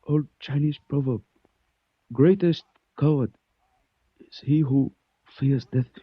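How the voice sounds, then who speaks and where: A solemn, courteous Chinese philosopher from a 1940s radio play. He speaks very slowly and formally in a fairly high, husky voice, with halting phrases. The recording is dry and dulled with age. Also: dry recording. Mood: weak, insistent. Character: dignified, dying Chinese man, courteous and philosophical. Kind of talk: 1940s radio drama acting. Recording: muffled old radio recording.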